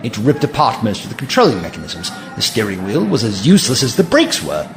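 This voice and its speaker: A man playing a caring, curious alien man in an audio drama, precise and gently reassuring with dry wit. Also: on the low side, wildly swooping pitch, brisk, music in the background. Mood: gently firm.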